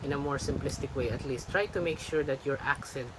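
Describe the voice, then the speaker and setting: A man's voice: medium pitch, a little fast. A young man from the Philippines critiquing a family member's video in casual chat, emphatic and lecturing, repeating his points. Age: young adult. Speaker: vlogger's son, critic. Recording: noisy home recording.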